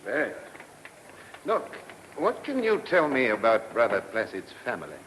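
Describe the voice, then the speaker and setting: An actor in a vintage radio play as a police chief inspector, questioning insistently and giving orders with authority. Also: male voice, mid-range voice, huge pitch swings, normal speed, fairly bright tone, rough recording. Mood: businesslike. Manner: insistent, probing questioning, commanding. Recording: old radio recording with faint noise.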